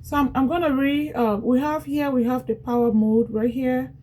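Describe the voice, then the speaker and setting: Casual Liberian woman vlogger, talkative and repetitive, warm mellow voice pitched a bit high. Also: fairly even intonation, normal speed. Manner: chatty, repetitive, talks straight to viewers. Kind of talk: casual product unboxing vlog.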